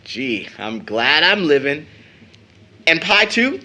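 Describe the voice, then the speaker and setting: A man's voice: on the high side, very animated, slow, pushed and bright, exaggerated voice. A man acting an eager, cheeky, devoted teenage son in an audio drama, boyish and slangy. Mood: cheerful, affectionate.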